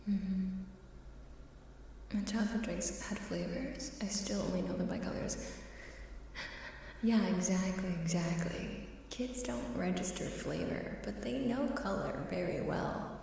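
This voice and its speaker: A woman talks in a soft, mellow ASMR voice that often slips into whispers. She takes her time, with a playful, coy manner. The sound is heavily echoing and a little distant, with faint ambience behind her. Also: mid-range voice, a little slow, vocal fry. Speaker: ASMR live streamer. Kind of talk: ASMR casual chat with whispering. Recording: reverberant hall-like echo, faint hiss, distant.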